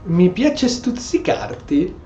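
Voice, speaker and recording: A man commentates casually on a game with hugely lively swings in pitch. The sound is a bit dull and echoey, with music behind him. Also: takes it slowly. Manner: animated, reactive. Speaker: gameplay streamer.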